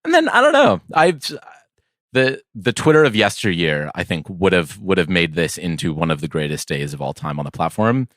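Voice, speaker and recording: Low-voiced man with lively, swooping intonation. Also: no room sound, clean studio sound. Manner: halting, rambling, thinks aloud. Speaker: podcast co-host. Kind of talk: casual podcast banter.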